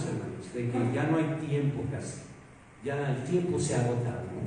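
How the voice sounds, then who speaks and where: Mexican pastor preaching in an echoey hall. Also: male voice, on the high side, slight background noise. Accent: Mexican Spanish. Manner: rhetorical questions, emphatic swings between loud and soft. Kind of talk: sermon. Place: church hall. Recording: echoey hall, mic far off.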